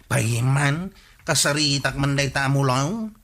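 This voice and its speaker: An actor with an Ilocano accent playing a hot-headed young nephew in a comedy radio drama. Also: male voice, mid-range voice, takes it slowly, fairly bright tone. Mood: curious.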